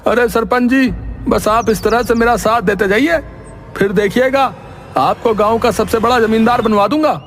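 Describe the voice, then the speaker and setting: A man playing a greedy, self-righteous brother-in-law insisting on his claim in an animated moral story. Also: very high-pitched, moderate pace. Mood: flattering, scheming.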